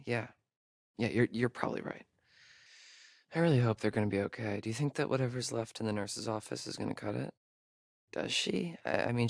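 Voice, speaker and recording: An actor playing a sarcastic young American camp counselor in a horror video game, hesitant and conversational in delivery. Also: male voice, medium pitch, creaky, no room sound, clean studio sound, close to an everyday voice. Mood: worried. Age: young adult. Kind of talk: naturalistic horror game dialogue.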